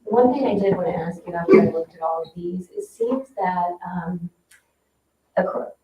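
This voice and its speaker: A woman's voice: fairly low pitch, normal speed, dull audio. A woman talking informally as a participant in a committee meeting. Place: meeting room, mic at a distance. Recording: echoey room, distant mic, faint noise.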